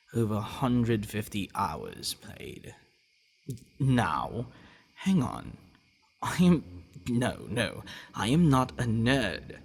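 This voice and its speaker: A man playing a gentle, devoted vampire boyfriend in an intimate ASMR roleplay, speaking softly and haltingly. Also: fairly low pitch, exaggerated voice. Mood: eager, defensive. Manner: halting, soft-spoken, often dropping to breathy murmurs.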